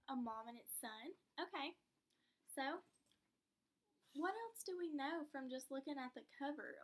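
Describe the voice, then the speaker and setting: A woman's voice: sits high, a little slow. A woman teacher giving a read-aloud lesson in an encouraging, instructive manner with guiding questions.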